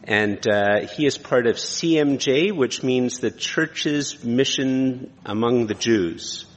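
A man's voice: mid-range voice, takes it slowly. A man speaking informally as a pastor hosting a church service, with a conversational, self-deprecating manner. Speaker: pastor hosting and introducing a guest preacher. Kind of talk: informal church introduction and prayer. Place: large church room. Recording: echoey hall with long reverb.

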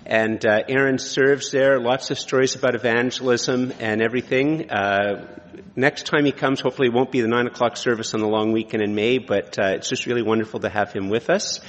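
A man's voice: medium pitch, fairly even intonation, a little fast, muffled recording. Male pastor in a reverberant hall. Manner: joking, self-deprecating, conversational. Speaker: pastor hosting and introducing a guest preacher. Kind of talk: informal church introduction and prayer. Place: large church room. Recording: echoey hall with long reverb.

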